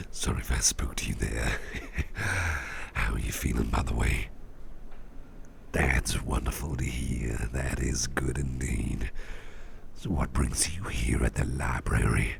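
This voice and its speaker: A man playing an eager, friendly boss in a soft, half-whispered ASMR roleplay, rambling and hesitant with a gentle courtesy, his voice deep and gravelly. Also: takes it slowly, pauses often, slight background noise, heavily acted. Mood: friendly, curious. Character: eager, friendly male boss researching mythical artifacts.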